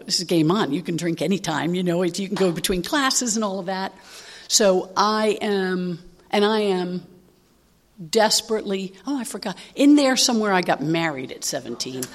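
Candid woman in her sixties telling her story. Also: on the low side. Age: 60s. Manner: candid, rambling storytelling with frequent fillers. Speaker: recovery meeting speaker. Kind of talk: personal testimony to an audience.

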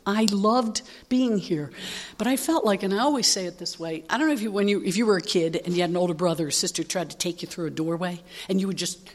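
A woman's voice: on the low side, fast talker. A woman in her sixties giving a candid, rambling personal testimony at a recovery meeting, with frequent fillers and restarts. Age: 60s.

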